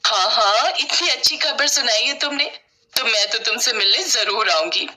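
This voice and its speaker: Woman voicing a kind village sister-in-law, bright. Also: fairly high pitch, moderate pace. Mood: delighted, affectionate. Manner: caring, eager to please. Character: kind, simple, self-effacing village elder sister-in-law.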